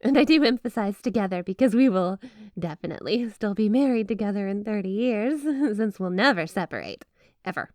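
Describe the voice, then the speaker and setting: A woman's voice: mid-range voice, lively intonation, brisk, darkish tone, no room sound, clean studio sound, natural voice. A woman playing a flirty, obsessive yandere wife in an ASMR roleplay, gushing and coy with a possessive edge under the sweetness. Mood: possessive, intense. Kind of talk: ASMR roleplay, addressing the listener as spouse. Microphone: close, dry microphone.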